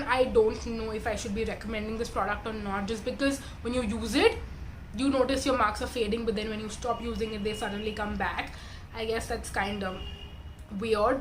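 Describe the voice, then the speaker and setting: Hoarse, congested woman chatting to camera. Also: on the high side, slight background noise, poor audio. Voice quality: raspy. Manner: chatty, self-correcting, filler-heavy. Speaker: beauty vlogger. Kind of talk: casual skincare review to camera.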